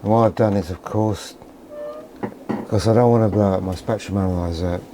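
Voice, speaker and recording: A man's voice: lowish voice, steady tone, a little fast, noisy background. A man thinking aloud in an understated, musing way as he gives a casual hands-on electronics demonstration, often putting questions to himself. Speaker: electronics hobbyist presenter.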